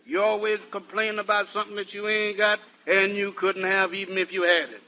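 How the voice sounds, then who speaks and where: Male actor for teasing male studio worker, 1940s radio comedy. High voice, animated back-talk, narrow-band vintage recording. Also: fairly quick, close to an everyday voice. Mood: exasperated.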